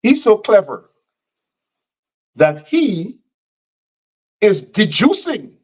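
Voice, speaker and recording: A man's voice: sits high, sing-song, very slow, a little mellow, breathy edge. A man from Guyana, delivering combative political commentary and repeating phrases for emphasis.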